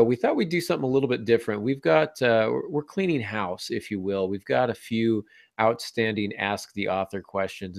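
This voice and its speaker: Guy hosting a podcast, low gravelly voice, kinda stuffed up, chatty, dry close sound. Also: no echo. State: congested, slightly under the weather. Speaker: podcast host. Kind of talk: casual podcast intro, chatty announcements.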